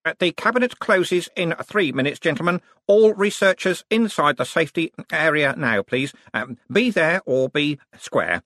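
A man's voice: medium pitch, lively intonation, moderate pace, a bit bright, dry recording, studio quality, voice pushed up. A man voicing a friendly, flustered young wizard scholar in an audiobook, modest but bookish, hesitating and stammering with many ums. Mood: hesitant.

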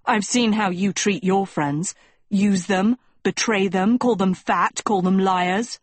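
A woman's voice: medium pitch, takes it slowly, dry recording. A woman voicing a proud teenage prince in a storybook, scornful and curt.

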